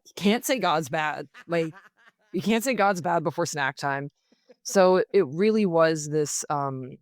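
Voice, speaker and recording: Clear, smooth-voiced American interview guest of ambiguous gender. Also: medium pitch, dry recording, studio quality. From Belgium and the United States. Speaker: interview guest, singer-songwriter. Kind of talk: reflective interview storytelling, casual.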